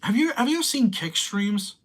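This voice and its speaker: A man's voice: very high voice, animated, a little fast, a bit bright. A young man in his late teens, casually rambling through commentary and reading viewer comments aloud. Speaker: streamer reading chat.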